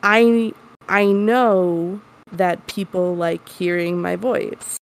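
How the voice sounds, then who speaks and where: A woman with a deep voice and a lisp, chatting candidly as an interview guest in a rambling way full of restarts. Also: fairly even intonation, very slow, rough recording. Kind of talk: candid casual chat.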